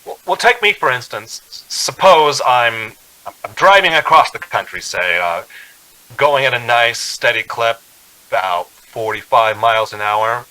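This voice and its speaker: A man's voice: mid-range voice, animated, unhurried, sharp tone, low-quality recording. An actor playing a haunted, anxious young man driving cross-country, speaking in a tense, hesitant radio drama style. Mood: nervous, halting. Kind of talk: old-time radio drama acting with narration.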